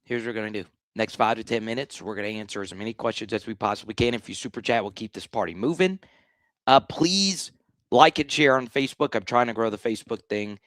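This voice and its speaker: A man with a slightly hoarse voice hosting a live sports talk stream, blunt and animated, often restarting his sentences. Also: lowish voice, fast, dry recording, clean studio sound. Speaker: sports show host.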